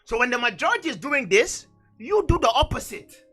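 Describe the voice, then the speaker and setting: The man you'd hear on a fiery online Bible show, pressing each point home in a high, forceful voice. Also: very animated, fairly quick, breathy edge, faint music behind. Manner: emphatic, exhorting, repeats phrases for effect. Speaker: preacher and teacher on a religious show. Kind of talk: fervent Bible teaching.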